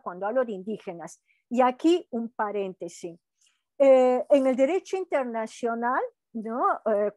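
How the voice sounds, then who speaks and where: A woman's voice: a little high, darkish tone, dry recording. A woman from Peru giving an online university lecture in a conversational way, often adding "¿no?" to check in with students. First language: Quechua heritage, lives in Brazil. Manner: conversational, frequent "¿no?" tag questions. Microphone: video call.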